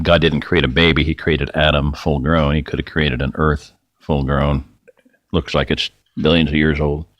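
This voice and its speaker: Middle-aged guy with a super deep voice rambling folksy over his screen, going a bit raspy, dry close sound. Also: a bit bright, no echo. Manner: rambling, folksy, self-interrupting. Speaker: video host. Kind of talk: rambling screen-share talk.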